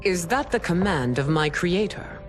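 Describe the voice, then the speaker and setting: An actress playing a proud middle-aged empress in a video game, with a regal, formal, commanding manner. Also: female voice, lowish voice, sing-song, moderate pace. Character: stern, imperious middle-aged warrior empress, wounded pride. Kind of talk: dramatic video game acting. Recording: game music behind voice.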